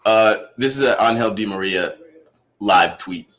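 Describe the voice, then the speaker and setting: A man hosting a casual football watchalong stream, excitable and quick to laugh. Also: lowish voice. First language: not Spanish. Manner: excitable, laughs a lot, talks in quick bursts. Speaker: host.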